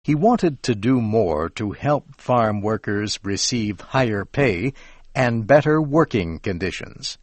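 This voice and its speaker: An American man reading scripted educational narration for English learners in a measured, carefully enunciated way. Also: fairly low pitch, expressive, dry recording.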